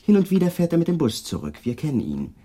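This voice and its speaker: Probing police inspector, raspy edge, lively pitch movement, formal clipped questions, crime radio drama. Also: male voice, quick pace. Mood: polite, insinuating. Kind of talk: German crime radio play acting.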